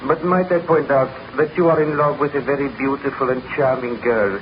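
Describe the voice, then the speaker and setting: An actor playing an earnest, cautious friend, formal and grave, in a 1930s radio drama style. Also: male voice, medium pitch, fairly even intonation, a little fast, natural voice. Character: earnest, cautious, disapproving male friend of the scientist. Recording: muffled, noisy old radio recording.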